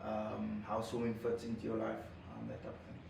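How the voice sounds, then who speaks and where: Hesitant, laughing host in an echoey room. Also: male voice, on the low side, completely flat delivery, brisk, creaky. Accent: Zambian. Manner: hesitant, many ums and uhs, laughs often. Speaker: podcast host. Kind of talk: casual interview chat. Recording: echoey room, mic at a distance, background noise.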